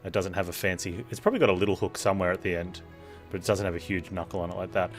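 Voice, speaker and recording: A woman's voice: very deep voice, very fast. A woman giving casual live commentary on a game, with rambling, offhand asides. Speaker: game streamer. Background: faint game music.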